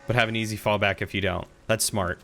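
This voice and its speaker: A man's voice: on the low side, steady tone, fast, vocal fry, sharp tone. A man in his thirties chatting casually with viewers while commenting on the video game he plays. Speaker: game streamer.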